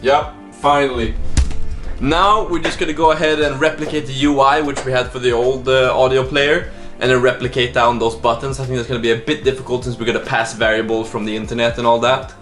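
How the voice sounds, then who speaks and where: A man's voice: medium pitch, fairly even intonation, fairly quick, a bit bright. A man talking informally and slangily, thinking aloud as he hosts a casual video diary. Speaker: host of a startup coding video diary. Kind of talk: casual vlog talk. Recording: echoey room, faint music behind.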